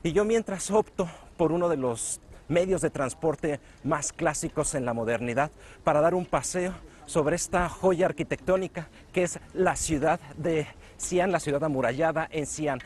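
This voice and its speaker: A man's voice: a little high, animated. Polished Mexican broadcaster man. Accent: Mexican Spanish. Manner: measured, polished broadcaster delivery. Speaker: television news presenter. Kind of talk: travel documentary presenting and narration. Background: music.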